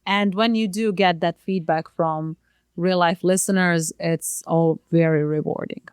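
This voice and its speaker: A woman's voice: lowish voice, steady tone, measured pace, a little mellow, clean tone, no echo, studio quality. A woman whose first language is Croatian, co-hosting a podcast in casual, thoughtful conversation from a home setup. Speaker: podcast co-host. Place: child's bedroom used as home studio. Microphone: podcast mic at home.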